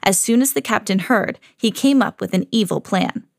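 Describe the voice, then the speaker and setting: A mother hosting her own podcast, reading a children's storybook aloud with lively jumps for the quoted lines. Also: mid-range voice, moderate pace, gravelly, no room sound, studio quality. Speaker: mom reading to her kids, podcast host.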